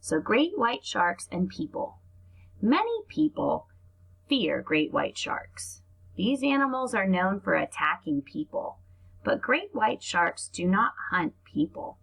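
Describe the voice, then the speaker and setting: A woman's voice: mid-range voice, big pitch swings, slow, creaky, a bit bright, slightly rough, low-quality recording. A woman reading aloud to young children like a teacher, explaining things and adding asides as she goes.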